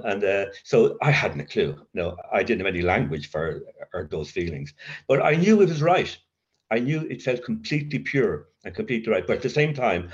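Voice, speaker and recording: An older man who stutters, telling his recovery story in a halting, reflective way with gentle dry humour. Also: low voice. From Ireland. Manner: stuttering, halting with blocks and repeats. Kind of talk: personal testimony. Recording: slightly dull, muffled recording.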